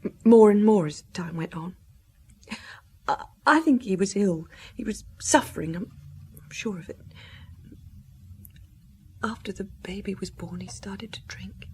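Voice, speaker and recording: An actress playing a distraught young widow and mother, fragile and halting, in a radio drama. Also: mid-range voice, a little mellow, slight background noise, close to an everyday voice. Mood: grieving, halting.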